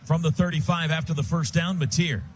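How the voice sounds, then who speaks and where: An American man does live football play-by-play from a radio booth. His voice sits fairly high, and he fires off short, urgent phrases in the present tense. There is clear noise all around him. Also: a little fast.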